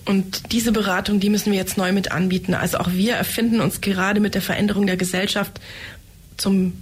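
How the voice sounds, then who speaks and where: A woman's voice: medium pitch, flat delivery, a little fast, a little hiss or hum. A woman answering interview questions about her organization, confident and matter-of-fact. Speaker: head of a family education center.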